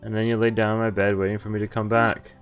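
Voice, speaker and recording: A man's voice: fairly low pitch, level tone, quick pace, clean tone, faint music behind. A man streaming a visual novel, reading its narration aloud and breaking off for wry comments, swearing and laughing.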